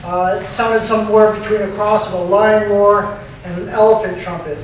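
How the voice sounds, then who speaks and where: A man's voice: high voice, fairly even intonation, normal speed. A man hosting a live festival event, introducing the next speaker with a hesitant delivery full of fillers. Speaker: festival MC and host. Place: event venue before a crowd. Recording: echoey hall, distant mic, background noise.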